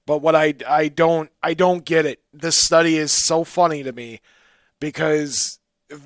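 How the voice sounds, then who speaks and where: A man's voice: fairly high pitch, fairly even intonation, a little slow, dry recording, studio quality. A man hosting a casual call-in radio talk show, bantering and emphatic, often interrupting himself. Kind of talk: casual call-in show chat about the paranormal.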